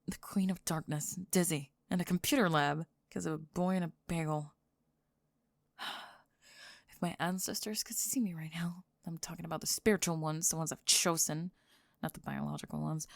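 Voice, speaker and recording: A woman's voice: sits low, moderate pace, creaky, dry recording, studio quality. A woman playing a flustered young goth girl with a secret crush, rambling and stammering breathlessly in an ASMR roleplay. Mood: panicked rambling. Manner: rambling, stammering, breathless panicked over-explaining.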